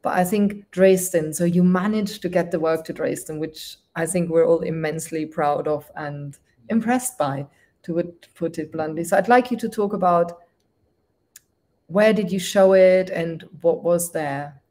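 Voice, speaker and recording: A woman's voice: lowish voice, a little slow, mellow. German-accented woman hosting a livestream. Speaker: host of a livestreamed discussion. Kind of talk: livestream discussion.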